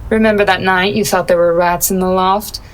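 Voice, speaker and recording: A woman's voice: lowish voice, fairly even intonation, brisk, close to an everyday voice. An actress playing a gangster's tough right-hand woman in a comic audio drama, sardonic and unruffled. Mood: matter-of-fact. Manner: sardonic, unruffled, veiled threats with pet names.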